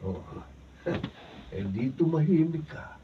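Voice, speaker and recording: An actor playing a menacing, gruff suitor and kidnapper in a Filipino radio drama. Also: male voice, fairly high pitch, lively intonation, takes it slowly. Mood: threatening. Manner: gruff, threatening. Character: menacing male suitor, a thuggish swindler and kidnapper. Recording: distant dull mic, loud room noise.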